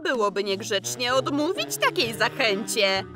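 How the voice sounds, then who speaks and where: A smug scheming female villain played by a woman, fairly high with wildly swooping pitch and an unhurried, mocking delivery. Music runs under this fan comic dub. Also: big pitch swings. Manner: sly, mocking.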